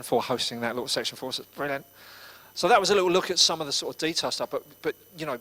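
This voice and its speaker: A man hosts a seminar at a very quick clip, with a bright, forward voice. He is upbeat as he thanks speakers and introduces the next one. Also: mid-range voice, rough recording. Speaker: seminar host. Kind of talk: hosting a seminar.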